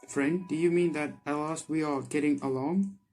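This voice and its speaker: A man's voice: fairly high pitch, steady tone, moderate pace, a little mellow, close to an everyday voice. A man voicing a naive, literal-minded candy golem girl in a video game, with stiff, formal phrasing and music behind. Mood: indignant, eager. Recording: background music under the voice.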